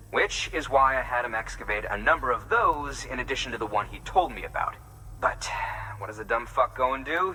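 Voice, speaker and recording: An irritable, skeptical scientist from a video game. His mid-pitched voice is very bright and edgy, his delivery blunt and sardonic. Faint noise sits behind the speech. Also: rough recording. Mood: irritated. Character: irritable male scientist, skeptical and fussy, eager about fossils. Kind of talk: video game character dialogue.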